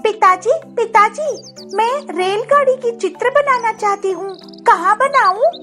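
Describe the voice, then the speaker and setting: Squeaky, eager little schoolgirl. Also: measured pace, music in the background. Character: eager, pert little schoolgirl in a children's story.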